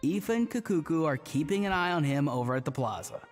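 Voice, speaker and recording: A man's voice: on the high side, expressive, a little fast, soft background music, natural voice. An actor playing a laid-back, confident young patrolman in a video game, talking casually and without fuss. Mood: easygoing. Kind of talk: English game voice acting.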